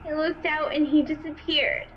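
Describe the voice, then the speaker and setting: Woman doing a scared little girl in a horror audio drama, super high voice, halting n tearful, kinda muffled recording. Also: little pitch movement, slight background noise, natural voice. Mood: shaken, sad. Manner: halting, childlike, tearful telling. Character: frightened young girl recounting a killer's attack.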